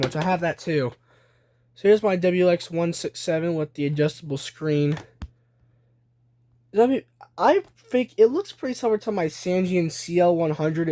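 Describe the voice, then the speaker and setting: Guy w a kinda high voice doing casual show and tell, sorta hesitant, thinking out loud, bit of noise on the handheld recording. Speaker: video creator showing a collection.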